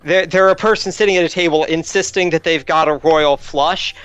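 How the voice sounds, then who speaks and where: Higher-pitched man, dry lo-fi mic. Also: steady tone, a little fast, fairly bright tone, clear, resonant tone, no echo. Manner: analytical, reasoning aloud. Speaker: player in a tabletop game. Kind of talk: casual game discussion. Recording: dull lo-fi mic, music behind.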